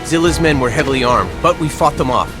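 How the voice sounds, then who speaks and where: Loyal game guard played by a male actor, formal, respectful, mid-pitched, loud music behind. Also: expressive, fast, close to an everyday voice. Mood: grave, urgent. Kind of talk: video game cutscene acting.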